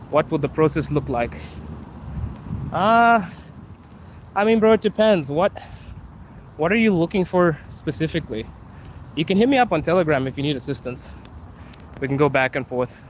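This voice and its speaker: A man from Zimbabwe chatting casually on a livestream, answering viewers' questions in a relaxed way. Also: fairly high pitch. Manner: relaxed, chatty, says bro and dude. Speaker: vlogger answering live chat. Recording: street noise with passing cars.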